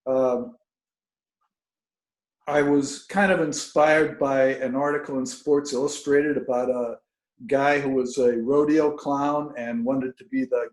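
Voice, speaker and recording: A man, an author, speaking informally and haltingly to an online reading over a video call. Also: medium pitch, darkish tone. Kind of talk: informal spoken introduction and reading of own fiction. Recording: roomy, echoing video call audio.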